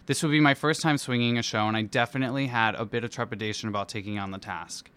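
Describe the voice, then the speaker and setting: A man with a smooth, clear voice at a medium pitch reads his own essay aloud. His delivery is theatrical and playful, with a self-deprecating streak, like a performer telling his own story. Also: fairly even intonation, quick pace, a bit bright. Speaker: musical theatre performer and dance captain. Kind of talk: personal essay read aloud.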